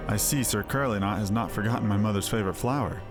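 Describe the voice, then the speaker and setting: A man voicing a courteous, gently wry young nobleman in a fantasy game. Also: on the low side, fast talker, lightly acted. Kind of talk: voiced reading of fantasy game dialogue. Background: music.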